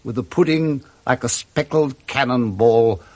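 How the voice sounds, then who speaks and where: Casting: male British narrator, mid-range voice, expressive literary storytelling for a classic radio drama. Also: medium pitch, a little slow.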